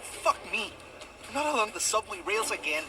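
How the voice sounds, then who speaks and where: Young amateur superhero, very high voice, excitable, foul-mouthed, animated game delivery. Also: male voice, moderate pace, background noise. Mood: defiant, angry. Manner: excitable, sarcastic, swearing. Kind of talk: video game cutscene acting. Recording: loud music behind the voice.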